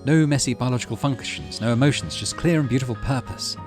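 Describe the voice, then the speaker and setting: Man playing young female scientist, game character, earnest and troubled, pitched up, music behind. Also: animated, quick pace, close to an everyday voice. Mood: bitter, upset.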